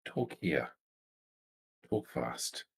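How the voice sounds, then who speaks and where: Low, wary vampire played by a roleplayer. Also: sing-song, drawn-out words, gravelly, heavily acted. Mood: guarded, curt. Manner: terse, guarded, questioning. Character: wary, suspicious vampire coterie member, curt negotiator. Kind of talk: in-character tabletop roleplay dialogue.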